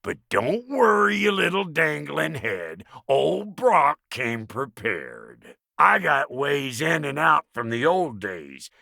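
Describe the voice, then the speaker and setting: An actor playing a gruff, foul-mouthed dwarf blacksmith in a video game, with a raspy voice, folksy rustic slang and swaggering insults. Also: male voice, on the high side, big pitch swings, slow, few pauses, bright, forceful tone, no echo, studio quality, heavily acted. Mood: cocky, teasing. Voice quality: gravelly, raspy. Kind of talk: video game character acting.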